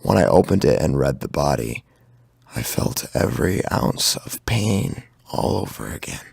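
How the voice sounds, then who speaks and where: A man acting an anguished, guilt-ridden victim narrating his own horror story in a gravelly, husky, halting voice. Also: rumbling low, very animated, a little mellow, no room sound, clean studio sound, heavily acted. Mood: bitter grief.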